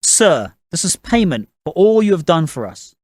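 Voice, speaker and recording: Male narrator as shrewd moneyed patriarch in a storybook, raised pitch, courteous but commanding, dry close sound. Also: very animated, normal speed, darkish tone, no echo. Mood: courteous, assured. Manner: polite, commanding, businesslike. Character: assertive gentlemanly father, a shrewd, moneyed family patriarch. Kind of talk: audiobook character dialogue.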